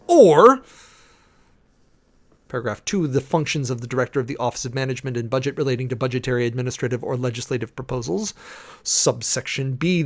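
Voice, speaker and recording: A man giving a theatrical, emphatic dramatic reading of a formal document. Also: mid-range voice. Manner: theatrical, emphatic, enumerating items with swooping emphasis. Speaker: preacher doing a dramatic reading with commentary.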